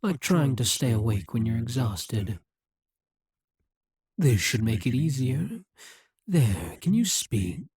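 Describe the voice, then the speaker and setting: A scheming demon king, speaking in a breathy, husky hush. He takes his time, slow and deliberate, each word pressed close in a dry, dead room. Possessive and intense, he leans right into the listener's ear. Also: mid-range voice, big pitch swings, no echo, exaggerated voice. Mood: musing. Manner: slow, measured, intimate delivery. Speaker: voice actor. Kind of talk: audio roleplay acting.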